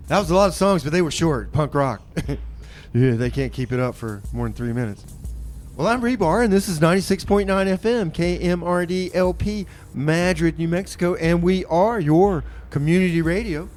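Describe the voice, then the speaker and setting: A man's voice: fairly high pitch, a little mellow, slight background noise. A man hosting a community radio show from the studio, reading announcements and chatting in a laid-back way, calling his listeners folks. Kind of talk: casual radio banter and announcements.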